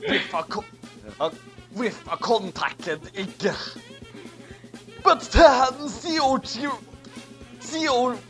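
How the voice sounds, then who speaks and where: Animated, unhurried reader with wide swings in pitch. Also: soft background music. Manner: stumbling over garbled text, repeating phrases. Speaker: reader of a parody fan fiction. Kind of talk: comedic dramatic reading of badly written fan fiction.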